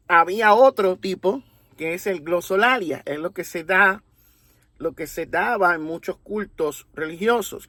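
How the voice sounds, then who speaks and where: Slow-spoken Puerto Rican man, high voice. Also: pushed and bright, no room sound. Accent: Puerto Rican Spanish. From Puerto Rico. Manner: deliberate, teacherly, with rhetorical tags. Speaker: religious commentator. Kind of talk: explanatory monologue.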